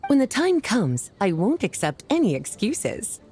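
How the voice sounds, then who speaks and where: An actress playing a flirtatious, languid woman in a video game, teasing and unhurried in her delivery. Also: medium pitch, huge pitch swings, mellow. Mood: teasing, flirty. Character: flirtatious, languid woman who loves cocktails. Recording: faint music behind the voice. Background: faint game music.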